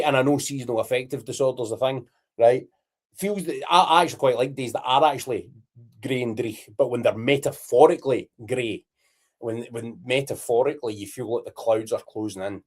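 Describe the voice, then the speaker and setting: A man's voice: medium pitch, a little mellow. A Scottish man co-hosting a podcast, rambling candidly in casual chat, swearing freely and dropping Scots words. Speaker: podcast co-host.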